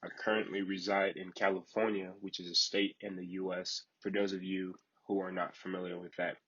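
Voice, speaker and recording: A man from Liberia leads a training session in a low, husky voice. His delivery is fairly flat, with a polite, formal, instructional manner. The recording is a little dull and lo-fi. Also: brisk. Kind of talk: online training lecture.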